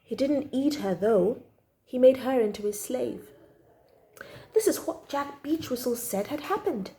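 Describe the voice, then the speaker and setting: A woman's voice: sits high, expressive, a little slow, mellow. A woman reading a children's story aloud expressively, drawing out key words for emphasis.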